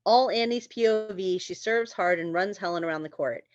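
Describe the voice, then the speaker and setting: A woman's voice: medium pitch, moderate pace, dry recording, dull audio. A woman reading stage directions as scripted narration at a screenplay table read.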